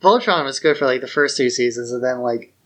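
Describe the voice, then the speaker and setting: A man's voice: mid-range voice, fast talker, a bit bright. A man co-hosting a podcast in casual fan talk, blunt, opinionated and slangy in how he puts things. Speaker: podcast co-host.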